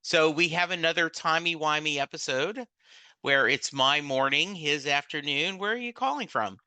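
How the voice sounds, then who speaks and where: Very bright, smooth-voiced male podcast host. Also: a little high, no room sound. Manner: upbeat, enthusiastic, quick to react. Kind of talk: casual interview.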